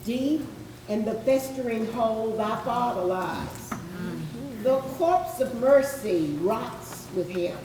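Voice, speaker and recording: A woman's voice: a little high, animated, slow pace. A woman delivering an intense, solemn, dramatic poetry recitation in a readers theatre. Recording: echoey room, distant mic, clear noise.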